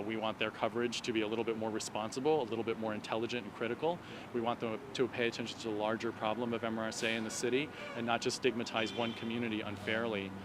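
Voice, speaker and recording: Guy doctor activist explaining stuff in an outdoor interview, clear traffic noise behind him. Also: medium pitch. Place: outdoors on an urban street at a protest. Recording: noisy city street with traffic. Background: street and traffic noise.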